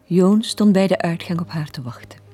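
An actress with a Flemish accent playing a village gossip relishing a scandal in a radio play. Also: female voice, fairly low pitch, moderate pace, dark tone, breathy edge. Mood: regretful gossip. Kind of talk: 1980s radio play acting.

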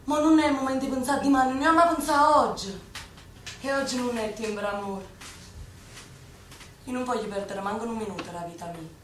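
A woman's voice: a little high, fairly quick, long pauses, lightly acted. An actress playing a bold, defiant young Neapolitan woman in a radio drama, teasing and headstrong. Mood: wistful. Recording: echoey, distant, faint noise.

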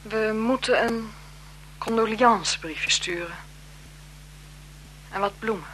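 A woman's voice: medium pitch, slow pace, fairly bright tone, a little hiss or hum, low-quality recording. An actress playing a formal, guarded French marquise, a bored wife and mother, in a 1970s Dutch radio drama. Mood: shaken, controlled. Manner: formal, hesitant, evasive with her companion.

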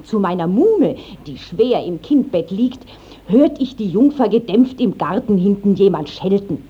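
Slow, dramatic village woman witness. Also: mid-range voice, slightly rough, full character voice. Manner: theatrical, drawn-out, emphatic storytelling. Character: Brigitte, superstitious talkative village woman giving testimony. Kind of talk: 1950s German radio play acting of classic verse comedy. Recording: dull 1950s radio drama recording.

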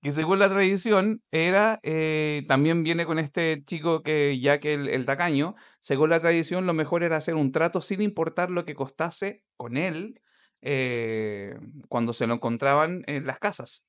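A man with a fairly high voice co-hosts a podcast. He reads and retells a story in a conversational way, with a playful, jokey manner. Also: a little slow, clear, resonant tone. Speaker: podcast co-host. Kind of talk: reading a legend aloud with casual asides.

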